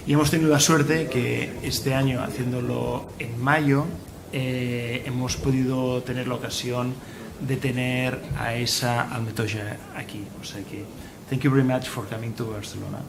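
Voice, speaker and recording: A man's voice: medium pitch, flat delivery, normal speed, creaky, background noise. A man, hosting a conference and introducing the next speaker. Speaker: conference host.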